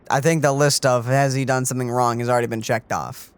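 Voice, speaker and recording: A man's voice: mid-range voice, clean tone. A man giving casual, dryly joking commentary as he plays through a video game. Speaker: let's play commentator.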